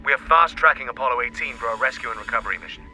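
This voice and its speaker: A man's voice: mid-range voice, very fast, pushed and bright, soft background music. An actor playing a stern, burdened male mission control commander, speaking in a grave, clipped, authoritative way. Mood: urgent, firm. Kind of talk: dramatic audio drama acting.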